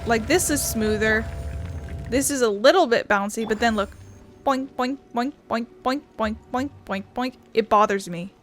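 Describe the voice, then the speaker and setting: A woman's voice: fairly high pitch, normal speed, soft background music. A woman giving casual let's-play commentary in a rambling, playful, self-deprecating way. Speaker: gameplay streamer.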